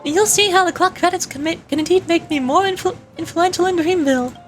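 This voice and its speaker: A woman voicing a jaunty, grateful cartoon clock mascot from a video game, loud and grandly friendly. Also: extremely high pitch, brisk, soft background music, voice pushed up.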